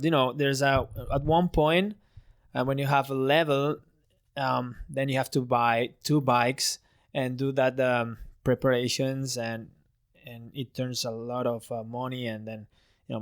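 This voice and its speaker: A man's voice: mid-range voice, dry recording, clean studio sound. A man in his thirties from Spain, an English speaker, telling stories in a casual interview with many restarts. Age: 30s. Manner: chatty storytelling with many restarts and laughs. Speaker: freestyle motocross rider, guest.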